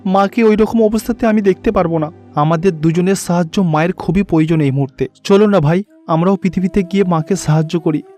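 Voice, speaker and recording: Cartoon child deity, done by a man: high, earnest, pleading, devoted son, music bed. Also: a little fast, mellow, close to an everyday voice. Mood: sad, concerned. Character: devoted, earnest young boy god pleading for his mother.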